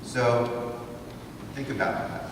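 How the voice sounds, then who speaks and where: Man lecturing in a boomy hall. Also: slow. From Honduras. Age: 50s. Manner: rhetorical, asks the audience to think. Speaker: guest speaker. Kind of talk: lecture to students. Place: large wood-paneled hall, indoors. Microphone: far camera, no mic seen. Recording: echoey hall from far off, noisy.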